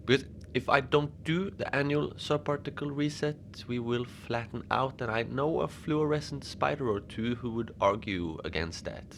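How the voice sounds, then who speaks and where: A man playing a lonely, gentle Antarctic facility manager, rambling hesitantly and apologetically in a cozy fiction podcast monologue. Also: medium pitch, moderate pace, creaky, few pauses, slight background noise, natural voice. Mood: chatty, wry. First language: Norwegian. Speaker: podcast narrator.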